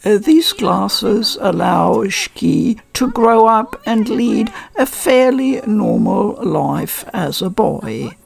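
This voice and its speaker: A woman giving a measured, thoughtful and appreciative review in a casual explanatory style. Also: medium pitch, lively intonation, gravelly, soft background music. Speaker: reviewer presenting a visual novel unboxing.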